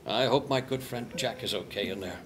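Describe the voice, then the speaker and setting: A man playing a boastful, squeaky-clean hypochondriac astronaut at a comedy table read, earnest and stumbling. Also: fairly quick, a bit bright, lightly acted. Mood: cheerful, upbeat. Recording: clearly noisy table read recording.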